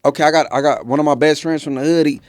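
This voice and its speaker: A man in casual conversation, speaking slangy, halting and repeating words. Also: a little high, steady tone, dry recording, studio quality. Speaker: host. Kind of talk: casual chat.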